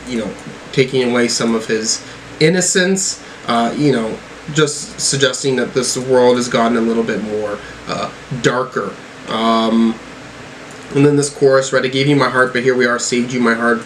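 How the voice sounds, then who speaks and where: A man giving casual, off-the-cuff music commentary as a reviewer, in a halting, informal way with some swearing. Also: medium pitch, fairly bright tone, a little hiss or hum, poor audio.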